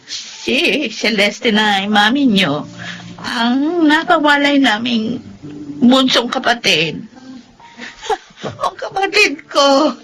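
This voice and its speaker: A woman's voice: high-pitched, lively intonation, slow pace, pauses often, a bit bright, full character voice. An actress playing an elderly, hopeful and tender elder sister in a Filipino melodrama, plaintive and eager, with noise behind her. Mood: eager, tearful. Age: older. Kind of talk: emotional Filipino melodrama acting. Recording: noisy ambient background.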